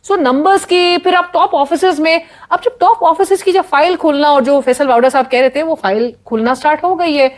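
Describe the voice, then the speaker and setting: A woman speaks as a guest analyst on a political talk show. Her voice is somewhat high, and she explains things in a measured way, pausing on hesitant fillers as she works through each point.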